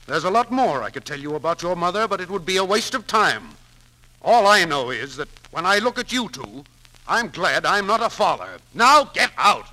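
Blustering, decent businessman, extremely bright, forceful delivery, vintage radio play. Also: sits high, big pitch swings, normal speed, exaggerated voice, rough recording. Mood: indignant, angry. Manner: forceful, emphatic, blunt. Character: gruff, blustering, principled businessman with a soft heart. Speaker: actor. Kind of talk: 1940s radio drama acting. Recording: 1940s radio broadcast recording.

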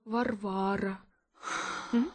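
An actress playing a tender, dreamy expectant mother who talks to her child in a gentle, coaxing way, in a radio play. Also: mid-range voice, fairly even intonation, drawn-out words, husky. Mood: confiding, coaxing.

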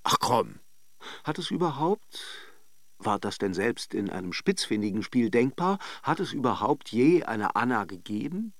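An actor playing a brooding, introspective lover in a radio drama, speaking measured, self-questioning lines. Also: male voice, mid-range voice, big pitch swings, dry recording, clean studio sound. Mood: ironic, questioning. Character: brooding male narrator-lover arguing with his own doubts. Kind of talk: literary radio drama monologue.

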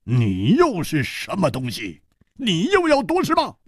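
A man's voice: high voice, wildly swooping pitch, unhurried, slightly rough, dry recording, studio quality, voice pushed up, exaggerated voice. A man voicing a hot-tempered, desperate martial-world gang fighter in a storybook, with strained, sputtering outbursts. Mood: enraged.

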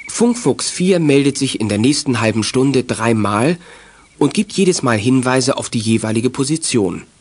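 A man's voice: mid-range voice, expressive, normal speed, natural voice. A man delivering scripted narration for a children's radio adventure play.

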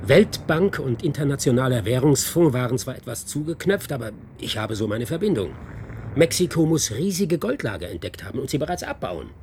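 A man's voice: mid-range voice, very animated, brisk, a little mellow, a little hiss or hum. A man acting in a German radio play as an analytical investigator, speaking in an articulate, deliberating way. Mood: confident, analytical. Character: well-connected male investigator, brisk and analytical.

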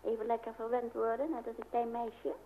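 A woman's voice: fairly high pitch, completely flat delivery, a little fast, lightly acted. An actress playing a doting middle-aged mother, coddling and fussing, in an old-fashioned radio play. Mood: tender, coddling. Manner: coddling, exclamatory. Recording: tinny, noisy old radio recording.

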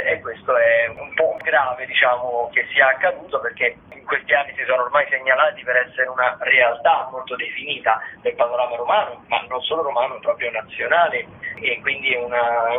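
Casting: man, phone-in radio contributor, thin telephone sound with faint noise, halting and wordy. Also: mid-range voice. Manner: halting, searching for words, wordy. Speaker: theatre radio show contributor. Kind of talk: informal radio rundown of theatre listings. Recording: tinny phone line with noise.

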